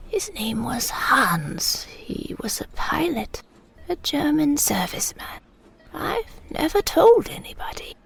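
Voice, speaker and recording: A woman playing a frail, wistful elderly British mother remembering her wartime childhood in a hushed, breathy audio drama monologue. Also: high-pitched, very animated, full character voice. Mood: confiding. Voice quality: breathy, whispery. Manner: slow, hushed reminiscing.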